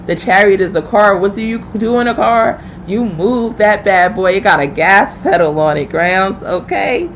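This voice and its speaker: A woman's voice: medium pitch, normal speed, few pauses, slight background noise, poor audio. A woman hosting a live tarot reading, chatting informally and familiarly with viewers as she thinks aloud. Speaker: tarot reader hosting a livestream.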